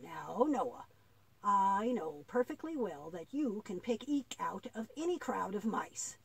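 A woman voicing a patient, devout old grandfather in a children's puppet play, explaining earnestly and haltingly with a husky voice. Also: medium pitch, very animated, full character voice, rough recording.

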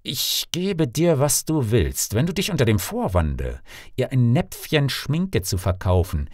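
A scheming storybook sorcerer, put on by a male narrator, coaxing with drawn-out menace. The sly, deliberate voice moves about expressively in a dry recording. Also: mid-range voice, sing-song, darkish tone, no room sound, voice pushed up.

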